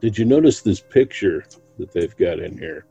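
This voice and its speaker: Very deep gravelly man, muffled. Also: animated, moderate pace, dark tone, soft background music. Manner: brief, dry interjections. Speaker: podcast co-host. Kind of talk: casual chat.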